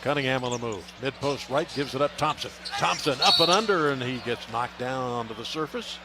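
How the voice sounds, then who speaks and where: A man with an American accent calls a basketball game live on radio. His pitch is average and his phrases come short and clipped. Loud arena ambience sits behind him. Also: brisk, heavy background noise. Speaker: play-by-play radio announcer. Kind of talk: live basketball play-by-play commentary. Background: arena ambience, bouncing basketball.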